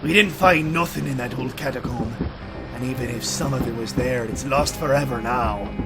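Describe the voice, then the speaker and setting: A man playing a gruff, world-weary warrior in a fantasy audio drama, blunt and gravelly, with rough colloquial speech. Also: medium pitch, moderate pace, over music, lightly acted.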